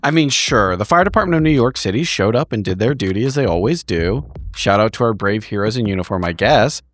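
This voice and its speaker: A man giving a dramatic, improvised story recap as a tabletop roleplaying player, with wry, deliberate phrasing and pauses. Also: on the low side, lively intonation, fairly bright tone.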